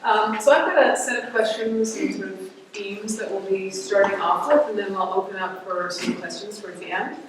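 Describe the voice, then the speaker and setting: A woman's voice: mid-range voice, normal speed. A woman moderating a panel discussion in a casual, chatty way, with many fillers. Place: large event hall with an audience. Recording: echoey hall from far off, faint noise.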